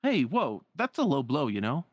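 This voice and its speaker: Someone doing a loud goofy teen boy from a game, pitched up, slangy, teasing. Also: wildly swooping pitch, normal speed, no echo, studio quality. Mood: playful, protesting. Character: brash, good-natured teasing teenage boy sidekick.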